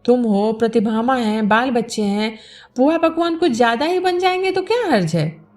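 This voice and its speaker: A woman's voice: a little high, expressive, normal speed, a little mellow, lightly acted. A woman storyteller voicing a hopeful widowed village mother-in-law who persuades earnestly and insistently in a novel reading. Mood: insistent, pleading.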